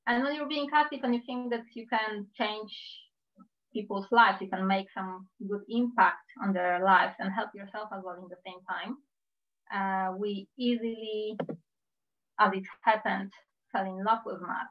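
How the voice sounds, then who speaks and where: A woman in her thirties from Poland with a Polish accent, speaking carefully and warmly about her own life in a talk given over a video call. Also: medium pitch, fairly even intonation. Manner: careful, measured, heartfelt. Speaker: invited speaker. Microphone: computer mic on a video call. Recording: slightly dull video-call audio.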